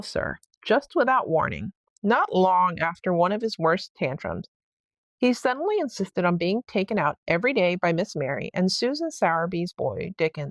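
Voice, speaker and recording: A woman's voice: lowish voice, dry recording. A woman voicing a flustered, deferential housekeeper in a storybook read-aloud, explaining hesitantly and respectfully.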